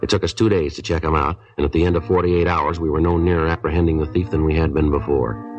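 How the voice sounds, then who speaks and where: A stoic American detective in a fifties radio crime drama. His voice sits very low and moves briskly through clipped, factual statements with a deadpan air. It is an old broadcast recording with faint music. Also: dull audio. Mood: matter-of-fact. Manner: deadpan, terse. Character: stoic, matter-of-fact male police detective. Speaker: actor narrating and playing the lead. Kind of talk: 1950s radio drama, clipped procedural narration.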